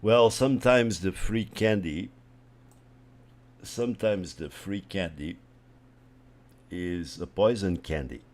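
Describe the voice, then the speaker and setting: Slow, deep-voiced man thinking aloud. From Portugal. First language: Portuguese. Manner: slow, thinking aloud, trails off into quiet asides. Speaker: chess streamer. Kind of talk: casual live commentary over a chess game.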